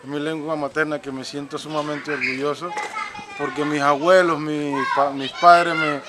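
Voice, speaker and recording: A man's voice: a little high, level tone. A man from Palenque with a Colombian Caribbean accent, native Palenquero speaker, proudly explaining as a local guide. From San Basilio de Palenque, Colombia. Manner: earnest, proud, explains at length with pauses. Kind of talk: explanatory tour talk. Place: outdoors in a village. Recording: outdoor recording with loud street noise.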